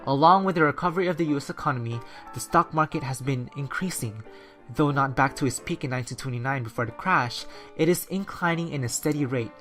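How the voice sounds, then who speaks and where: A radio narrator and newscaster reads in a steady, scripted manner at a middling pitch, like a school recreation of a 1930s broadcast. Soft music sits faintly behind the voice. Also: fast. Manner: steady, read-from-script delivery. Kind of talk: read-aloud 1930s-style radio narration and news.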